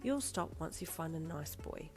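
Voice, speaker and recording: A woman voicing a pushy, easily upset middle-aged mother who coaxes softly and then turns shrill. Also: animated, normal speed, faint music behind. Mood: coaxing. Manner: soft and coaxing, turning shrill and gasping.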